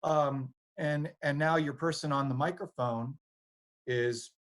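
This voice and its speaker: A man working as an audio technician, explaining things on a training panel in a halting way, thinking aloud piece by piece. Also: a little high, fairly even intonation, fairly bright tone.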